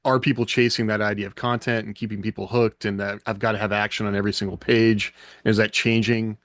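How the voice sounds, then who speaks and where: A man's voice: lowish voice, level tone, quick pace, fairly bright tone, no room sound. A man co-hosting a casual podcast chat, with a blunt, dry way of talking. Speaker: podcast co-host.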